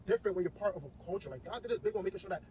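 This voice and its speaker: A man's voice: sits high, lively intonation, very fast, mellow. A man in a casual clip, talking enthusiastically and rambling with many fillers, recorded outdoors. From United States. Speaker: clip subject. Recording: distant mic with outdoor street noise.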